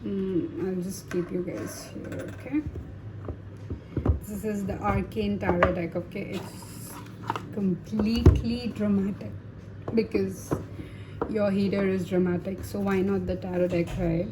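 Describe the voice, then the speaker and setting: A woman's voice: medium pitch, fairly even intonation, a little slow, background noise. A woman giving a casual tarot reading to viewers, talking in a chatty, reassuring way with many fillers and tag questions. Her first language is Hindi. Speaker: tarot reader.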